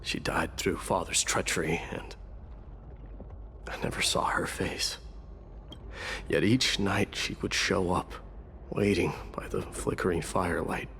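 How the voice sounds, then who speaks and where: A deep, creaky-voiced exiled warrior prince in a game monologue. He is slow, grave and resolute, turning his thoughts inward over soft music. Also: steady tone, a little hiss or hum, full character voice. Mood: melancholy, reflective. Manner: grave, measured, introspective. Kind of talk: dramatic video game monologue.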